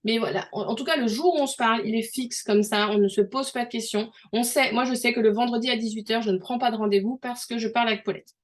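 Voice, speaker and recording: A middle-aged woman from France, talking casually with a somewhat high voice. She is chatty and explains things through everyday examples, often restarting her sentences. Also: fairly even intonation, fast, hardly any pauses. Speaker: host. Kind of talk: casual conversational interview.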